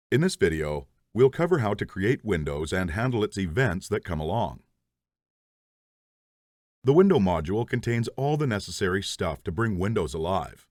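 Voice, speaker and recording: Lively low-voiced man teaching code. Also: dry recording, clean studio sound. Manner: methodical, explains step by step. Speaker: programming instructor. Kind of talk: scripted tutorial narration.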